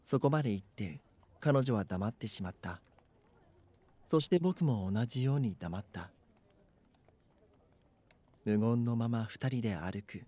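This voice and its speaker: A man's voice: mid-range voice, lively intonation, unhurried, pauses often, dark tone, husky. A man playing an anxious, bashful schoolboy who narrates his own story earnestly in a voice drama. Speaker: voice actor. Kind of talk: Japanese voice drama, first-person narration and dialogue.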